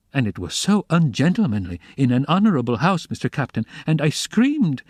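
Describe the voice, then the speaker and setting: A man putting on a shrill German landlady. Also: dry recording, studio quality. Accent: German accent put on. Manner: pattering, rapid, aggrieved complaint. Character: flustered middle-aged German landlady, shrill and indignant.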